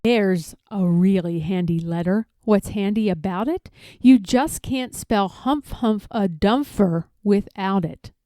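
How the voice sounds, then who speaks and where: A woman's voice: mid-range voice, slow, mellow, no echo, clean studio sound. A woman reading a rhyming picture book aloud expressively, drawing out key words for emphasis. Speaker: read-aloud storyteller.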